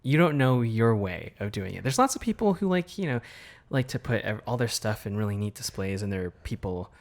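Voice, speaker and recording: A man's voice: fairly low pitch, sing-song, a little fast, creaky. A man in his thirties co-hosting a casual podcast, talking things through thoughtfully. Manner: thoughtful, lots of fillers and restarts. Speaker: podcast co-host, artist. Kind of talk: casual chat.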